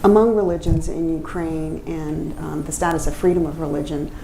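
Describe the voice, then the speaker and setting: A woman's voice: low-pitched, fairly even intonation, normal speed, vocal fry, dark tone, echoey room, slight background noise, low-quality recording. A woman moderating a panel discussion, polite and attentive as she hands over questions. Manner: polite, attentive, frequent backchannel.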